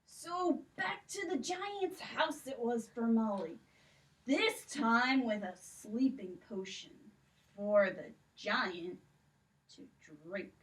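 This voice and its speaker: Expressive children's storyteller woman. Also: a little high, slow pace, distant mic, poor audio. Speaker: children's librarian.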